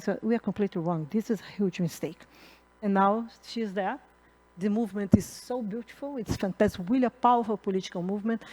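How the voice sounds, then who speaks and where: A middle-aged woman from Brazil speaks with a Brazilian accent, moderating a conference panel. Her English is halting, with many fillers and restarts. She talks into a handheld mic in a conference hall. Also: mid-range voice, a little mellow. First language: Portuguese.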